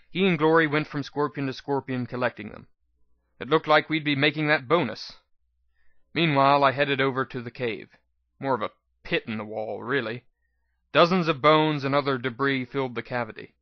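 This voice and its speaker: A man's voice: mid-range voice, dry recording, muffled recording. A man reading a scripted first-person story aloud as a dramatic audiobook narration. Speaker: audiobook reader.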